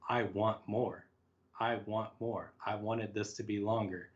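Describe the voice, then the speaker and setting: A man's voice: on the low side, steady tone, measured pace, muffled recording. A man speaking casually as an interview guest, reflective and self-deprecating, often acting out small quoted asides. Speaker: podcast guest, writer.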